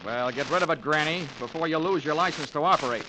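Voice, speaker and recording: A man's voice: a little high, quick pace, lightly acted. A man playing a tough, cynical American investigator in a radio detective drama, wisecracking in a clipped, sardonic way. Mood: tough, pressing. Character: wry, tough male insurance investigator, hard-boiled and cynical. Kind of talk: hard-boiled 1950s radio detective narration and acting. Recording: noisy vintage radio recording.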